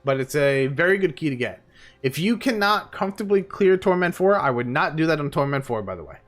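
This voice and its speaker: A man's voice: fairly high pitch, expressive, brisk, fairly bright tone. A man streaming a game live, chatting casually and rambling with plenty of fillers, tired and losing his voice.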